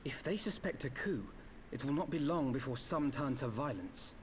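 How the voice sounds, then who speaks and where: A man's voice: medium pitch, animated, moderate pace, a little hiss or hum, natural voice. An actor playing an earnest, articulate young man in a game, with formal, precise phrasing. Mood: concerned. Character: earnest, articulate young male scholar-diplomat. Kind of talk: scripted game cutscene acting.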